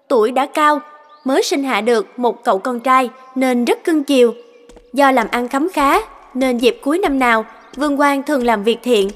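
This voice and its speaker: A woman reading scripted folktale narration as a cartoon storyteller. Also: high-pitched. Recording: long reverb, music behind.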